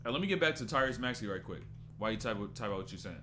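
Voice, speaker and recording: A man's voice: on the low side, expressive, rapid-fire, background noise. A man in his twenties doing casual live-stream basketball commentary, loose and rambling as he stops to answer chat. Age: 20s.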